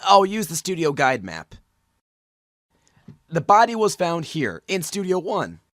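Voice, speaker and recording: Casting: male actor as young defense attorney for a game fandub, high voice, earnest, declamatory, music underneath. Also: sing-song, a little slow, fairly bright tone, no echo, clean studio sound. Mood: pointed, confident. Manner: declamatory courtroom argument. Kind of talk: amateur anime-game fandub acting.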